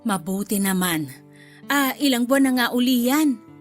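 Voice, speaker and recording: A woman's voice: a little high, lively intonation, normal speed, soft background music, lightly acted. A woman with a Filipino accent playing a chatty, blunt middle-aged mother-in-law in a radio drama. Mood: blunt, critical.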